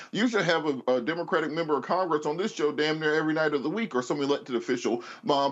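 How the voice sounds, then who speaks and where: Fast, emphatic man in political commentary. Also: on the high side. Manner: emphatic, argumentative. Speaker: political panelist. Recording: dull, muffled sound.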